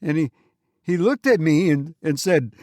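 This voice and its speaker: A man hosting a podcast and telling stories informally in the first person, with a halting, hesitant delivery. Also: on the high side, animated, a little mellow, dry recording, clean studio sound. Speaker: podcast host and narrator.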